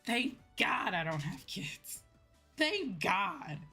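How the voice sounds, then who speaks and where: An American woman chatting casually to viewers as a livestream host, animated and repeating phrases for emphasis. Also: medium pitch, very slow, husky, soft background music. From United States.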